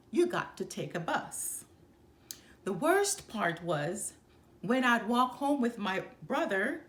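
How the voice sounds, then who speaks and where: A woman reading a children's picture book aloud as a storyteller, expressively and with measured, emphatic phrasing. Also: on the high side.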